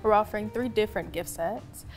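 A woman's voice: mid-range voice, brisk, a little mellow, soft background music. A young woman co-hosting a cooking demonstration in a studio kitchen, presenting food products in an upbeat, agreeable way. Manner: upbeat, frequent agreeing murmurs.

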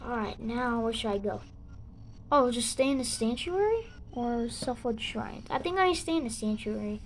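Chatty kid with a sore throat. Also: female voice, fairly high pitch, slight background noise. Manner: rambling, halting, with excited outbursts. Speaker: gaming channel host. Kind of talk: casual gameplay commentary.

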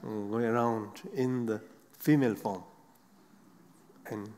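A middle-aged man, a monk seated on a large theater stage, talks in careful, halting English, often stopping to search for words. His pitch sits a little on the low side, and his voice carries a long hall reverb. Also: steady tone, darkish tone. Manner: halting non-native English with many pauses and "you know". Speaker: Buddhist monk panelist. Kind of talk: unscripted panel talk.

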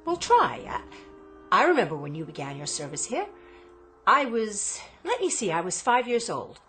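A restless young lady of a wealthy house in a radio drama. She presses with questions in an animated, mid-pitched voice. Music plays under her. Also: normal speed, slightly rough, exaggerated voice. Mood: irritated. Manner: insistent, questioning. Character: restless, probing adult daughter of a wealthy inventor.

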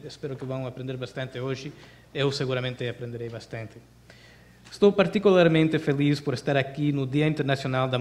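Man giving a halting podium speech. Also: on the high side, a little mellow. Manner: halting, frequent fillers, reading from notes. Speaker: US consul general giving opening remarks. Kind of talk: formal seminar speech. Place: conference auditorium, at a podium. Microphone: podium microphone.

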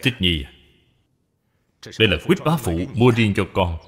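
A man's voice: on the low side, big pitch swings, unhurried, fairly bright tone. A man voicing a dignified, courteous middle-aged lord and father in a children's cartoon, speaking formally and with measure. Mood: genial. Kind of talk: dubbed children's moral cartoon. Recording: long hall reverb, music behind.

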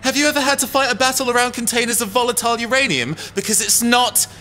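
A man playing a cocky, touchy young robot pilot and tinkerer in an audio drama, sarcastic and animated. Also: very high voice, fast, a little hiss or hum. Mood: aggrieved.

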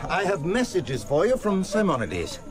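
An actor playing a loyal, calming aide who brings news in a radio drama. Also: male voice, high-pitched, moderate pace, music in the background. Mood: reporting, calming.

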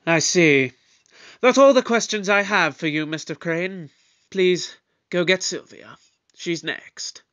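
Guy playing eccentric bossy doctor detective in audio drama, high bright voice, formal and theatrical. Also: slow. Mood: probing. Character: eccentric, commanding alien Doctor leading a murder inquiry. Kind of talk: scripted audio drama acting.

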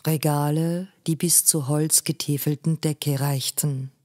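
A woman narrating a literary story in a measured way, phrase by phrase. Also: low voice, fairly even intonation, dark tone, no room sound, clean studio sound. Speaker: audiobook narrator.

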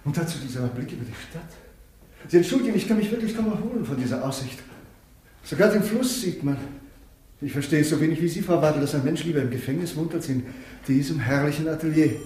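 Man acting a quick, animated, fussy gentleman. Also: on the high side, close to an everyday voice. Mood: awkward. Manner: courteous, hesitant, formal. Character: polite, fussy, slightly awkward male lawyer doctor. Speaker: actor in a radio play. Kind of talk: 1950s German radio drama acting. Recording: roomy, noisy 1950s radio recording.

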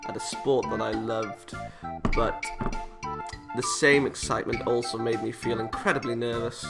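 A man's voice: mid-range voice, level tone, moderate pace, vocal fry. A British man hosting a gaming video, chatting casually and reading visual novel text aloud with playful, self-interrupting asides. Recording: music playing behind the voice.

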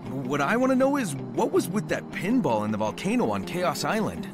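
A man's voice: on the high side, very animated, normal speed, music in the background. A man voicing an easygoing, friendly young hedgehog hero in a video game, casual and breezy. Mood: curious.

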